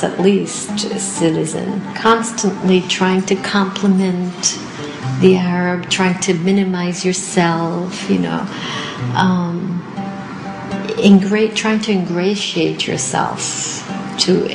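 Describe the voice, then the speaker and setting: A woman's voice: medium pitch, creaky. A woman giving personal testimony in an interview, searching for words and rephrasing. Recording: roomy echo, prominent music. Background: guitar music.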